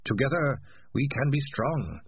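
A man voicing a small, earnest male rat in a storybook reading, calm, polite and thoughtful. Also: mid-range voice, a little slow, breathy edge, dry recording, voice pushed up, exaggerated voice. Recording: tinny, lo-fi sound with faint noise.

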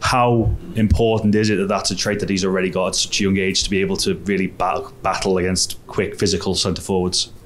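A man's voice: low-pitched, flat delivery, fairly quick, hardly any pauses, fairly bright tone, slight background noise. A male reporter asking the manager a long, winding question at a press conference.

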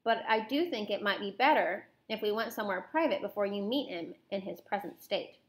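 A woman voicing an unflappable old grandmother in a children's storybook, measured and matter-of-fact. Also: mid-range voice, lively intonation, lightly acted. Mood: patient, firm. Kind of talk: children's storybook read-aloud.

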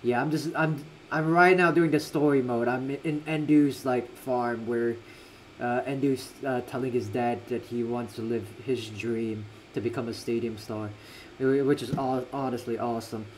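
A man's voice: medium pitch, fairly even intonation, moderate pace, slight background noise, poor audio. A young man casually commentating a game on a livestream, slangy and reactive, repeating himself as he chats. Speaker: livestreaming gamer. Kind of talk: casual live game commentary, chatting with viewers.

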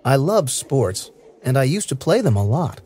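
A man reading short first-person lines slowly and clearly for English learners. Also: medium pitch, very animated, a little mellow. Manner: clear, careful, evenly paced delivery. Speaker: English listening practice reader. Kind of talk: slow scripted reading for learners.

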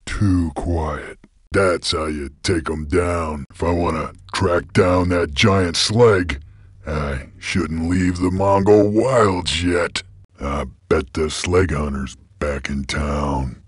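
A weary, menacing frontier bounty hunter creature from a video game, speaks in an extremely deep, husky voice. His Western American drawl is slangy and deadpan. The recording is close and dry. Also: takes it slowly, no echo, full character voice. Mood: gruff, wary. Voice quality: husky, gravelly. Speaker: game protagonist. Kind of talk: video game character lines.

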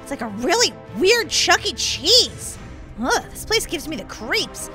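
A woman voicing an excitable big sister girl in a children's toy-play story, with exaggerated, exclaiming, chatty delivery. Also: high-pitched, unhurried, loud music behind the voice. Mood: nervous chatter.

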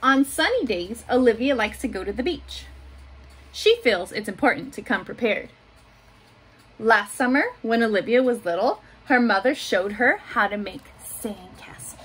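A woman in her thirties reading a children's picture book aloud in simple, deliberate phrases, adding little asides for young listeners. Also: fairly high pitch, lively intonation, a bit bright. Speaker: school staff member reading aloud to pupils. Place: small home office room, indoors. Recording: noticeable room noise behind voice.

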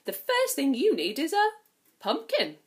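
A woman reading a storybook aloud, voicing a gentle, motherly old fairy godmother. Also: sits high, wildly swooping pitch, measured pace, voice pushed up, exaggerated voice.

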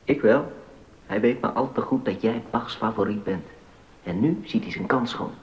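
An actor playing a shrewd, knowing confidant in a docudrama, giving advice in a matter-of-fact way. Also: male voice, expressive, normal speed, natural voice. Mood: knowing, urgent. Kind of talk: Dutch docudrama acting. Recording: dull sound with faint rumbling noise.

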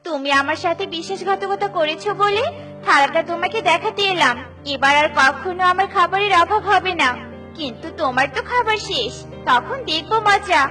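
Woman voicing a very high-pitched earnest little mother bird. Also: lively intonation, moderate pace, over music. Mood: smug. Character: small female mother bird, earnest, hungry and weary. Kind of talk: children's cartoon dialogue.

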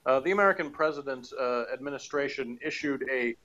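A man's voice: medium pitch, a little fast, sharp tone, muffled recording. A man in his forties, a podcast co-host, chatting casually from a home office in a rambling, hesitant way with many fillers. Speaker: podcast co-host reviewing audio dramas. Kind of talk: casual chat. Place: home office with bookshelves, indoors.

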